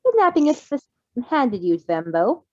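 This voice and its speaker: A woman's voice: high-pitched, big pitch swings, normal speed, a little mellow, dull audio. A woman reading a fan fiction story aloud, then chatting casually about it and laughing often.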